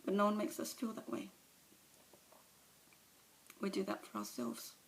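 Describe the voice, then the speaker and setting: A woman's voice: lowish voice, flat delivery, normal speed, close to an everyday voice. A woman performing a monologue as a remorseful recovering alcoholic mother, speaking confessionally and sometimes dropping to a whisper, recorded on a phone camera. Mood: anguished.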